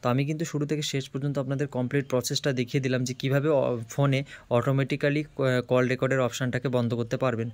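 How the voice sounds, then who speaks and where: Very fast-talking man giving a phone tutorial. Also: medium pitch, little pitch movement, no echo. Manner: explains step by step, repetitive. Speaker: host of a tech tips channel.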